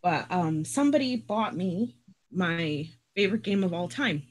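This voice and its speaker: A woman on a podcast panel sharing a personal story, speaking earnestly and haltingly with many pauses. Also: lowish voice, expressive.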